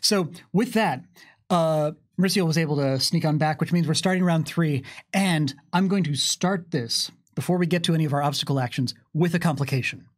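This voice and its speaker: Higher-voiced American man as game master. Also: fairly quick. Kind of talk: tabletop game narration and rules explanation. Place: small curtained studio, indoors. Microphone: desk microphone on boom arm.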